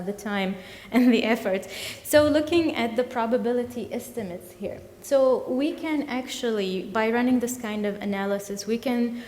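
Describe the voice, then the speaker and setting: A woman whose first language is Arabic, giving an academic conference talk, halting and full of fillers and self-corrections. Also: medium pitch, darkish tone. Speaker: conference presenter. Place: lecture hall. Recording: echoey hall with long reverb.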